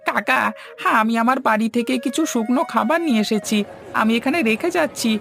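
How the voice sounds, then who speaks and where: A young cartoon villager put on by a man speaks in a very high voice. The manner is deferential and pleading, eager to help, with music playing underneath. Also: quick pace, gravelly, sharp tone, exaggerated voice. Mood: eager, helpful. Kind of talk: scripted cartoon dialogue.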